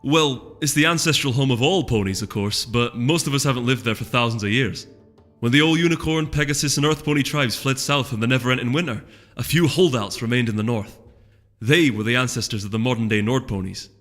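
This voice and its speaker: A man's voice: medium pitch, a little fast, fairly bright tone, natural voice. A man voicing a bubbly, adventurous young female pony with a Scottish accent and an eager, chattering manner in a storybook reading. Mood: eager lecturing. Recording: long reverb with music underneath.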